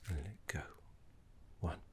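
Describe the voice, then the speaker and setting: Gentle, learned male necromancy teacher for ASMR roleplay, voiced by a man: breathy whispering, unhurried delivery, courteous and calming. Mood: calm, soothing. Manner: slow, courteous, soothing, measured.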